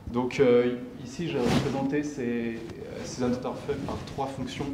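A young man speaks at a tech meetup in a mid-range voice. He explains step by step and haltingly, often putting a question and then answering it. The room is clearly echoey, with audible noise behind him. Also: medium pitch, steady tone. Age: young adult. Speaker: tech meetup speaker presenting his company's software. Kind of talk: technical conference presentation. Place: indoor lecture room, at a lectern.

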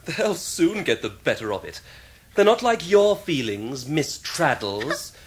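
An actor playing an arrogant, sneering upper-class English teenage schoolboy in a period radio drama. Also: male voice, a little high, big pitch swings, unhurried. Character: haughty, scornful upper-class schoolboy in his teens.

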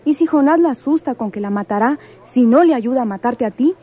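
A woman's voice: high voice, animated, fairly quick, dark tone, breathy edge. An actress playing a proud, wary woman in a radio soap, earnest and firm. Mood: urgent warning. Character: proud, wary, insistent woman warning a man. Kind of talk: Spanish-language radio soap acting.

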